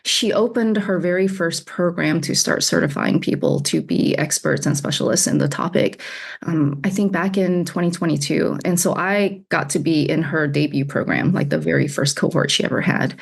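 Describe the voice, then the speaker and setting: Low, gravelly woman coach, candid. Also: big pitch swings, moderate pace. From United States (Alabama). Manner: candid, laughs often, repeats words. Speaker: executive coach, guest. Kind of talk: conversational interview answers.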